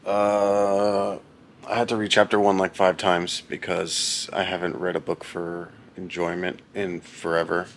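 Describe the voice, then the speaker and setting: A young man with a very low voice, chatting casually and deadpan to a camera at home, rambling and poking fun at himself. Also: a little slow, slight background noise. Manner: deadpan, rambling and self-mocking. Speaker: husband reading a book on camera. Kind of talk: casual vlog chat.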